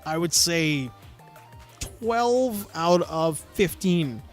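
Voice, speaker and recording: High-voiced man telling a story. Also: very slow, faint music behind. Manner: storytelling, animated, frequent fillers. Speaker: podcast co-host. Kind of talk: casual podcast chat.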